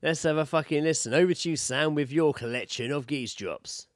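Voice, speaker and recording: A man's voice: a little high, fairly quick, heavy background noise. A British man co-hosting a podcast, telling casual, sweary anecdotes and laughing easily. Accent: British English. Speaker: podcast co-host.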